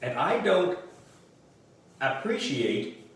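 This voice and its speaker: A man's voice: on the high side, sing-song, drawn-out words, fairly bright tone, heavily acted. Man reading as an anxious, emphatic schoolboy, echoey. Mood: annoyed, defensive. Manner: emphatic, pleading. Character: anxious, defensive schoolboy hero of a children's book. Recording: roomy echo, distant microphone.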